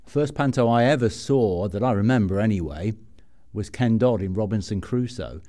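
Low, mellow male podcast host. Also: lively intonation, moderate pace. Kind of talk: relaxed interview chat.

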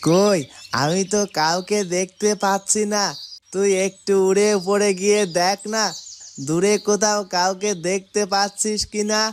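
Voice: mid-range voice, steady tone, unhurried, slight background noise, natural voice. An actor voicing a friendly, slightly plaintive talking animal companion in a children's fairytale cartoon, chummy and earnest. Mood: puzzled, concerned.

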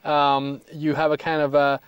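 A man hosting a conversational interview, forming his questions haltingly and repeating words as he goes. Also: on the high side, completely flat delivery, clear, resonant tone, no room sound. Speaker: podcast host, interviewer.

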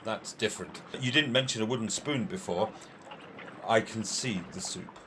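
A man's voice: on the low side, normal speed, noisy background, natural voice. A man acting in an amateur radio play as an argumentative, courteous admiral who relishes every word, theatrically. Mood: relishing, emphatic. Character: opinionated, food-loving old-fashioned military admiral, courteous and argumentative.

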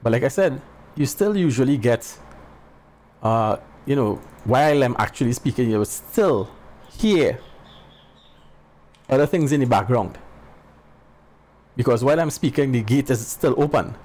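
A man's voice: mid-range voice, lively intonation, unhurried, pauses often, darkish tone. Plain-spoken man on a headset mic. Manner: plain, explanatory, talks straight to viewers. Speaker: channel host. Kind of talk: casual tech demo talk. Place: small home room. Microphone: headset boom mic. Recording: faint background birdsong noise. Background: faint birds chirping.

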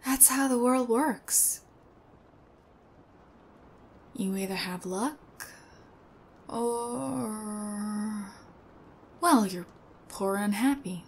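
A strange, sweet young thief girl, played by a woman, guarded and shy in an ASMR roleplay. She speaks slowly and hesitantly in a soft, breathy voice of middling pitch. Faint noise sits behind her. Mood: teasing. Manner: hesitant, soft-spoken, often breathy and near whispering.

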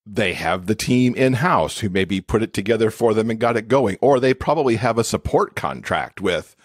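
Low-pitched, expressive man explaining in conversation. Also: normal speed, no echo, studio quality. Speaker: podcast guest, accessibility expert and author.

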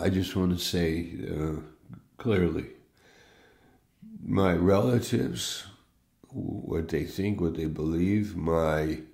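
Slow, gravelly-voiced pastor in a study. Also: male voice, deep voice, a little mellow, reverberant. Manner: slow, reflective, pausing and restarting phrases. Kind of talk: morning devotional with scripture and prayer. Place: home study with bookshelves.

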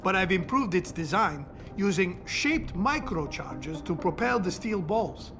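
An actor playing a proud, eager weapons scientist in a video game, explaining things formally. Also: male voice, high-pitched, lively intonation, unhurried. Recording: game audio with music behind.

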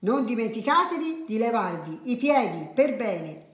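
A woman's voice: on the high side, sing-song, a little slow, reverberant, voice pushed up. A woman voicing a cheerful, kindly and blithely absurd mother in a children's story.

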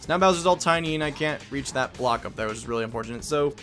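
A man's voice: medium pitch, rapid-fire, fairly bright tone, faint music behind. A man hosting a video game playthrough with casual, playful commentary. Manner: joking, playful. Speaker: Let's Play host.

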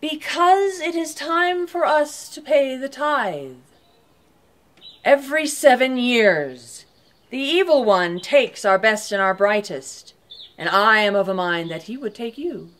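A woman's voice: a little high, animated, takes it slowly, a bit bright. A woman doing a stern, stately faerie queen. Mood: grave.

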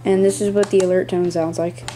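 Hobbyist doing a casual home show and tell, kinda rambly and halting, filmed on a handheld camera. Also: mid-range voice, flat delivery, over loud music, rough recording. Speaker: hobbyist presenting a radio collection. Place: small indoor room at home. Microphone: handheld camera close by.

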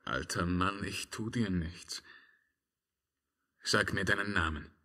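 Brooding emperor, slow and husky. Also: male voice, low voice, exaggerated voice. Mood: calm, gentle. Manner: declamatory, intense verse monologue with hushed drops. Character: tormented, brooding emperor, proud and haunted by memories. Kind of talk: 1950s radio drama acting.

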